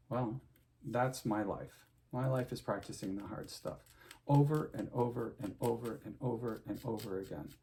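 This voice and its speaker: A man's voice: fairly low pitch, creaky, a little hiss or hum. A middle-aged man, an opera singer, chatting casually to his viewers in a loose, rambling way with lots of fillers and restarts. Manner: chatty, full of fillers and restarts, warm sign-off advice.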